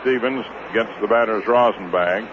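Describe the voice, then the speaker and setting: A man's voice: fairly low pitch, normal speed. An American man calling baseball play-by-play in clipped short phrases, in the style of 1950s radio sports commentary. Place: outdoor ballpark broadcast. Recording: muffled, noisy 1950s radio broadcast.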